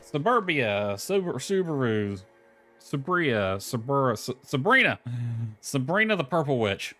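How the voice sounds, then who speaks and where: A man narrates his own gameplay in a casual, laid-back way at a medium pitch. He mutters to himself as he goes, with soft lo-fi music playing faintly underneath. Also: big pitch swings, a little slow. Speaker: gaming video creator. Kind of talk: casual gameplay commentary, reading game dialogue aloud.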